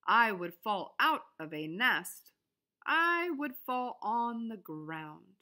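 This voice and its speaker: Little bunny for a picture book, played by a woman: high, slow, sing-song, hopeful. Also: sing-song, bright, forceful tone, full character voice. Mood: hopeful, curious.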